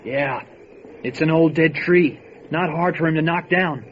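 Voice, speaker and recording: An actor playing an anxious, feisty, loyal deputy in an old time radio drama, urgent and protesting. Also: male voice, fairly high pitch, a little slow, natural voice. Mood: alert. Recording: dull lo-fi audio with light noise.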